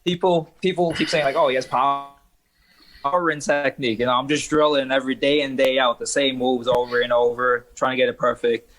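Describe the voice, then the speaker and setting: Young guy from Trinidad, fighter guest, easygoing, cusses casually, call audio, middle pitch. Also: level tone, fairly quick, fairly bright tone, poor audio. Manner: laid-back, swears casually, laughs often. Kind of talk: casual chat on a video call. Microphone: video call.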